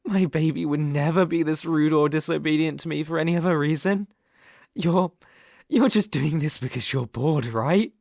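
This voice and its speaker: A man's voice: on the high side, moderate pace, creaky, darkish tone, no room sound. A British man playing an obsessive, possessive young kidnapper in an intimate ASMR roleplay, coaxing and menacing in a condescending way, close on the microphone. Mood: anxious, self-soothing.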